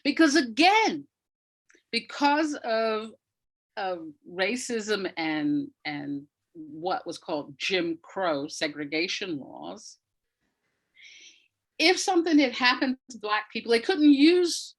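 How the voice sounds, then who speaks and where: A woman's voice: fairly high pitch, animated, slow pace. Thoughtful woman explaining as an interview guest. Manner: thoughtful, searching for words, emphasising key points. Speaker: interview guest, audio drama writer. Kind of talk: conversational explanatory interview talk.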